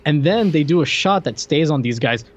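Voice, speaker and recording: A man's voice: fairly high pitch, expressive, moderate pace, muffled recording. A man co-hosting a podcast, chatting about an old movie with an amused manner and reading out viewer messages. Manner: amused, reads chat messages aloud. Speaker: podcast co-host. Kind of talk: casual movie review chat.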